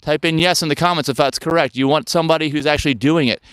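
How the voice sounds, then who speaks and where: A man's voice: a little high, fast talker, fairly bright tone, clear, resonant tone, dry recording. A man in his fifties talking casually to viewers outdoors in the woods, rambling and often turning to them with questions. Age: 50s. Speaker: health channel host. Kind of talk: casual talk to camera.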